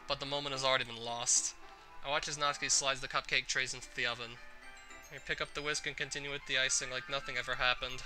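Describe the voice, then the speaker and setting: Bright, forceful man reading a visual novel. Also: mid-range voice, poor audio. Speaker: gaming content creator. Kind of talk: read-aloud visual novel playthrough narration.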